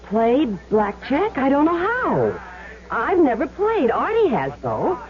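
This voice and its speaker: Flustered woman, swooping pitch. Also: wildly swooping pitch, takes it slowly, exaggerated voice. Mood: doubtful. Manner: flustered, questioning. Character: hesitant, doubtful woman pulled into a gambling scheme. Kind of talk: vintage radio drama acting. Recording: muffled old radio recording, crowd murmur behind.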